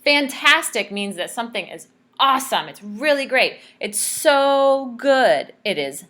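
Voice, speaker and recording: A woman reading a story aloud to children in a teacherly, expressive way, pausing to ask questions. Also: on the high side. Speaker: speech therapist reading aloud.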